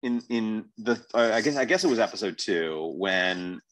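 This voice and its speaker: A man in a casual podcast discussion, thinking aloud in a halting, wordy, questioning way. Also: lowish voice, expressive, a bit bright. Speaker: podcast host.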